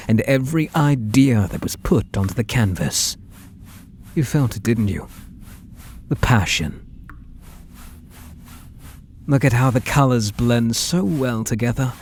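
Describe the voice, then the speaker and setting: A man with a British accent playing an obsessive, possessive painter in an intimate ASMR roleplay, breathy and doting with an undertone of menace. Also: medium pitch, a little hiss or hum. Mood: adoring, possessive. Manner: slow, breathy, doting, menacing murmur with soft whispers. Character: obsessive, possessive male painter doting on his captive muse.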